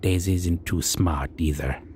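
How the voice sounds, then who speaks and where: A man's voice: deep voice, fairly even intonation, slow, darkish tone, a little hiss or hum, pitched below the performer's own voice. A man voicing a proud, amused, menacing goblin king who speaks in broken grammar and musing hums. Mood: mocking. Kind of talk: roleplay audio monologue.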